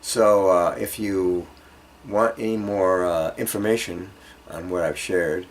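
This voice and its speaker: A man giving an informal guitar lesson, speaking haltingly as he thinks aloud and demonstrates. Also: low voice, steady tone, slight background noise. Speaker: guitar teacher. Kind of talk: informal instructional music lesson. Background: acoustic guitar playing.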